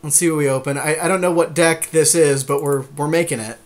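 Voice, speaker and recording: Higher-pitched man, casual and agreeable. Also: steady tone, a little fast. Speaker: podcast co-host. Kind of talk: casual card game draft commentary.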